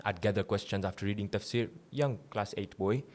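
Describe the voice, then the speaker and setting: Young male guest from Tanzania, low-pitched and easygoing, recounting his path with a smile. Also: fairly quick. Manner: relaxed storytelling with light laughs. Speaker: guest, seminary student. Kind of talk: casual interview.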